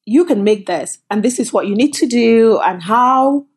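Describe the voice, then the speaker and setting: A middle-aged woman from Cameroon, a company founder telling her story as a guest in an interview, lively and quick in bursts. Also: a little high, breathy edge. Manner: animated, storytelling, quick bursts, laughs often. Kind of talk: conversational interview.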